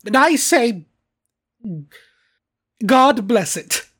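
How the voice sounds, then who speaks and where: A narrator voicing a cheerful, warm-hearted young nephew in a storybook, earnest and lively, sometimes pleading. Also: mid-range voice, unhurried.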